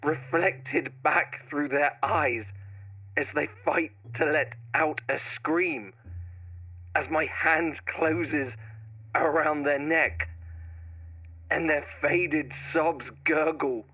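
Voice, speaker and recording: Man acting a slow, brooding horror writer. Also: a little high, fairly even intonation, dry recording, lo-fi sound. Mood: rising menace. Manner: slow, deliberate, dramatic pauses and repetitions. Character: brooding male horror writer turning obsessive and menacing. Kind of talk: first-person scripted horror monologue.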